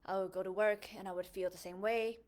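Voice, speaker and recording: A woman's voice: medium pitch, steady tone, fairly quick. A young woman from Thailand, raised in the United States, sharing her story in an earnest, thoughtful monologue with frequent fillers and self-corrections. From born in a refugee camp in Thailand, Hmong, raised in the United States. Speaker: host of a faith podcast. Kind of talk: reflective personal monologue.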